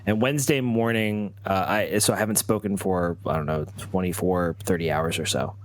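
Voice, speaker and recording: A man improvising a first-person story as a role-player, halting and often repeating his words. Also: sits low, no room sound.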